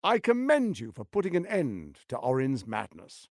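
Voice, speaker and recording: A man playing a smooth-tongued, condescending tyrant lord in a video game, with a pompous, theatrical delivery and deliberate emphasis. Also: mid-range voice, huge pitch swings, no echo, clean studio sound. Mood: persuasive, ingratiating.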